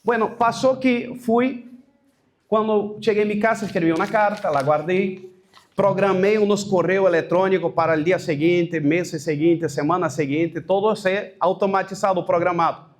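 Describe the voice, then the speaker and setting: A pastor with a noticeably high voice speaks Spanish with a Brazilian Portuguese accent. He tells a personal testimony to a room of church leaders, halting and restarting and slipping in Portuguese words. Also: fairly even intonation.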